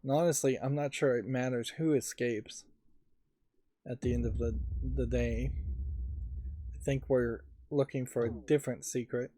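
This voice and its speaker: A man's voice: mid-range voice, steady tone, moderate pace, long pauses, dark tone, a little hiss or hum. A man giving casual, musing game commentary as a let's play host, often hesitant and trailing off. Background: game music.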